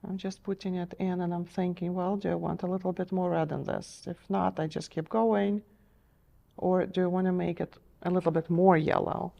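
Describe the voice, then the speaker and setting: A middle-aged woman teaching a step-by-step painting lesson in a TV studio, gentle and encouraging, her voice a little strained as she is losing it. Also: lowish voice, little pitch movement, normal speed, vocal fry, muffled recording. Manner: gentle, encouraging, checks in with "okay?". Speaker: painting instructor.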